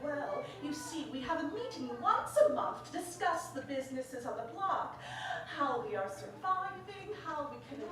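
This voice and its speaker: A woman's voice: high-pitched, sing-song, normal speed, noisy background. A woman playing a coy, haughty shop owner in a 1940s radio drama, with an airy, affected manner. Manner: airy, affected, calling people darling. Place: theater stage, live performance. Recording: echoey stage, distant mic, audience.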